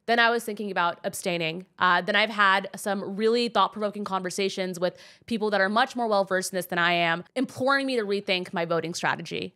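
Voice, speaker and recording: A young woman hosting a casual interview show, talking fast and earnestly, her voice stuffy and nasal from a sinus infection. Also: mid-range voice, a bit bright. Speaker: talk show host.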